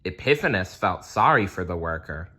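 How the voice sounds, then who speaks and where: A man reading a children's story aloud in a deliberate, storytelling manner. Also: sits low, lively intonation, sharp tone.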